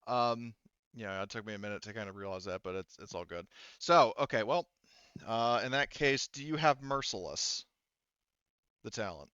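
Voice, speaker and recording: A man works as game master, improvising narration in a halting, conversational way. His lowish voice is bright and clear, captured dry and a little dull. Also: dry recording. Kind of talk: improvised tabletop roleplay narration.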